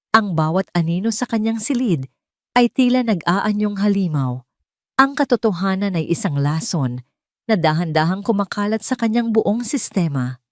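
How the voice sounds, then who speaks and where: A woman reading a dramatic scripted story in a deliberate, suspenseful way. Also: mid-range voice, darkish tone, no echo, studio quality. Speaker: audio story narrator.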